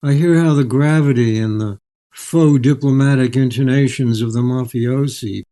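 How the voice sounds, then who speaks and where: An older man speaking as an author, reflective and articulate, with measured, literary phrasing. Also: mid-range voice, warm tone. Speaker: author, interview guest. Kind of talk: reflective storytelling, reading and interview.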